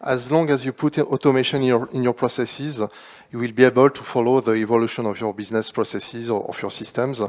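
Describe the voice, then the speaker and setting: A man's voice: mid-range voice, fairly even intonation, quick pace, a little mellow. A man on a conference panel adds a point in an earnest, plain voice. He stumbles and repeats small words as he goes. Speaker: panel speaker. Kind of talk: unscripted panel remark.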